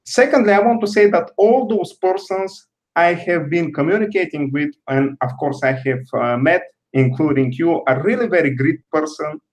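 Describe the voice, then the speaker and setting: A man's voice: a little high, low-quality recording. Man, careful hesitant answers. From Bulgaria. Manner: careful, hesitant with many pauses. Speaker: guest. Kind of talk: interview answers.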